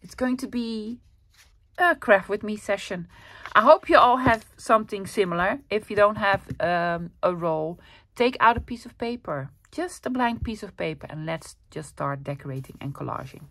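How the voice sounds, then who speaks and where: A Dutch woman hosting a casual craft-with-me video, rambling cheerfully with fillers and soft laughs as she shows her supplies. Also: mid-range voice, expressive, normal speed, no echo. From Netherlands. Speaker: craft channel host.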